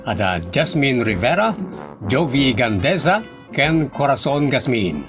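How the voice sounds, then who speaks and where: A man's voice: medium pitch, very animated, a little slow, over music. A man with a northern Philippine accent, announcing a scripted radio drama program.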